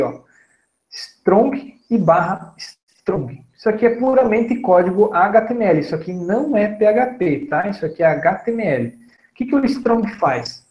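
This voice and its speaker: A man in his thirties teaching programming on a screen recording, relaxed and chatty, often checking in with his listeners. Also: high-pitched, echoey room, low-quality recording. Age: 30s. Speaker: programming instructor. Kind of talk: screen-recorded coding tutorial.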